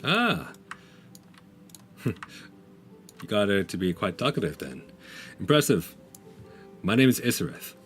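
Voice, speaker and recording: A man's voice: fairly low pitch, very animated, measured pace, pauses often, fairly bright tone, faint music behind. A man voicing a courteous, dignified Dragonborn diplomat in a tabletop fantasy game, speaking formally and somewhat hesitantly. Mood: courteous, measured.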